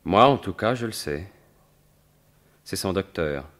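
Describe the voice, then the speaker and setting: An actor playing a confident, wry gentleman thief turned investigator in a French radio mystery in 1950s style, speaking in assured, formal, articulate phrases. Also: low voice, animated, a little slow. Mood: probing, grave.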